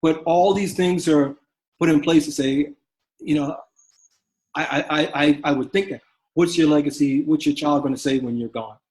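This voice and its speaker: A man's voice: fairly high pitch, normal speed, a little mellow, poor audio. A middle-aged man speaking as an interview guest, a father reflecting earnestly with many restarts and fillers.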